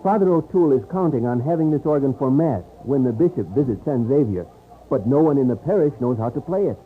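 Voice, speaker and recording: An actor playing an earnest, anxious companion to the hero of an old radio western, explaining things carefully. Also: male voice, medium pitch, lively intonation, fast, natural voice. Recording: narrow-band old radio recording, noisy.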